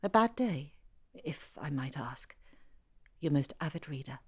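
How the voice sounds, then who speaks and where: A woman's voice: low voice, expressive, a little slow, slightly rough, heavily acted. An actress playing a genteel, apologetic woman amateur historian in an audio drama, polite and musing as she voices her letters. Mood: musing, enthusiastic.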